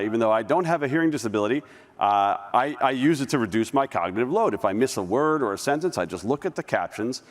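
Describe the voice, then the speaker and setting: A man presenting a live software demo on stage, talking casually and restarting sentences as he clicks through. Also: medium pitch. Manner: conversational, with restarts while demoing. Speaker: conference presenter. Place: large conference hall stage. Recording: long reverb of a large hall.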